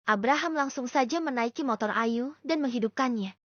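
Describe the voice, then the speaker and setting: A woman's voice: fairly high pitch, normal speed, fairly bright tone, no room sound. A woman reading a scripted story as an audiobook narrator. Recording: dull lo-fi dry recording.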